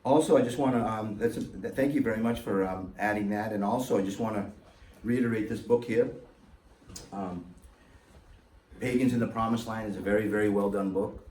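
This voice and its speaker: A man's voice: lowish voice, fairly quick, a little mellow. A man giving an impassioned, emphatic public talk to an audience, his voice sweeping up on key words. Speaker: guest speaker. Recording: echoey hall, mic far off, faint noise.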